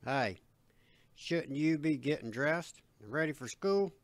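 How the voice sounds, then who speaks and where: A woman voicing a gruff, folksy, middle-aged country father with slangy, homespun phrasing in an audio story. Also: deep voice, expressive, slow, no room sound, clean studio sound, voice pushed down. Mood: distracted.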